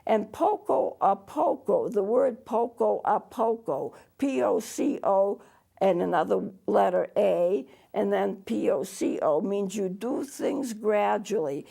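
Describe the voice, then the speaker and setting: A woman music teacher with a throaty, worn voice, gravelly with heavy fry. She talks at an unhurried, slow pace, patiently spelling out terms letter by letter in a small TV studio. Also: medium pitch, lively intonation, dark tone. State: losing her voice, throaty. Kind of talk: televised music lesson.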